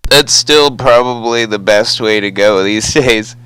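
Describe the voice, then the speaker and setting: A man's voice: on the low side, a little slow, fairly bright tone, clean tone, poor audio. An American man chatting casually over the radio as a hobbyist, with an easygoing, folksy manner. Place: two-way CB radio conversation.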